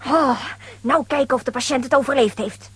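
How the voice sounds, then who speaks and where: A woman's voice: high voice, normal speed, slightly rough, dry recording. A woman voicing a plucky, take-charge child adventurer in a children's comic radio play. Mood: determined.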